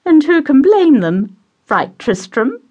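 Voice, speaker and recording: A shrill gushing society mother. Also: very animated, takes it slowly, muffled recording, heavily acted. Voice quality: shrill and high-pitched, gushing. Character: gushing meddling upper-class mother, middle-aged.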